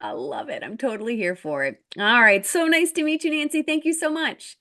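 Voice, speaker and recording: A woman's voice: on the high side, fairly quick, bright, forceful tone. A woman hosting a talk show, chatting in an upbeat, informal way as she interviews a guest. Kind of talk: casual interview chat.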